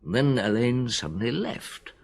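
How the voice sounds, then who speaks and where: An actor playing a reflective veteran detective who narrates in a radio drama, measured and musing. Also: male voice, medium pitch, very animated, creaky, breathy edge, exaggerated voice.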